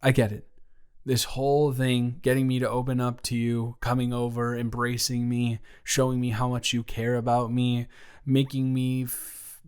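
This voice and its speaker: A man's voice: mid-range voice, monotone, normal speed, darkish tone, clean tone, natural voice. Guy acting as shy awkward college boy, anxious and stammery, rambles on, sweet dormmate type in an audio roleplay. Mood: teasing, affectionate. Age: young adult. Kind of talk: intimate one-sided audio roleplay acting.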